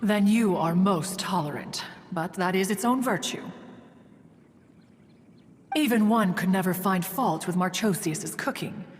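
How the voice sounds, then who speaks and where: A woman's voice: lowish voice, a little slow, close to an everyday voice. A woman playing a proud, wistful ancient female immortal in a game, speaking in formal, archaic, pompous phrases. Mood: nostalgic. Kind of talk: scripted game dialogue, storytelling. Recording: long hall reverb, music behind. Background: music.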